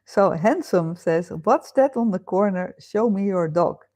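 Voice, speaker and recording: A Dutch-accented woman chatting unhurriedly on a livestream, reading comments aloud and answering them. She laughs often and thinks aloud with little fillers. Her pitch sits in a middle range and her pace is slow. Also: animated, dark tone. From Netherlands. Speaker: livestream host. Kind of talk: casual live chat reading viewer comments. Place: home.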